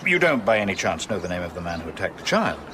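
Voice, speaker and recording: An actor playing a measured, grave Victorian gentleman with an English accent in a radio drama, formal, deliberate and quietly probing. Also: sits low, very animated, noisy background.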